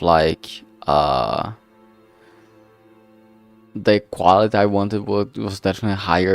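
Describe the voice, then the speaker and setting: Slow-talking, halting man, low voice. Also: creaky. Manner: halting, rambling, trailing off mid-phrase. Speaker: streamer, visual novel maker. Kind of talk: casual unscripted livestream progress update.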